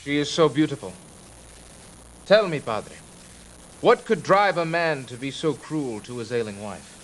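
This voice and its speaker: An actor playing a gallant, concerned masked hero in a video game, with an earnest, chivalrous, dramatic manner. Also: male voice, a little high, lively intonation, a little slow, poor audio. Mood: earnest wonder.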